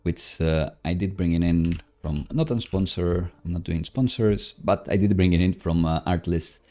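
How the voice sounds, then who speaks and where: A man live-coding on a stream, casually thinking aloud in a hesitant, halting, self-musing way. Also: low voice. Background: soft background music, computer keyboard typing.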